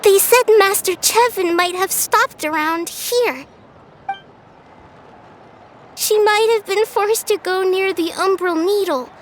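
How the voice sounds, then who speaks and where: A woman's voice: very high voice, measured pace, slight background noise. A woman voicing an anxious young girl in video game dialogue, who stammers and pleads for help. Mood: pleading. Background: game soundtrack music.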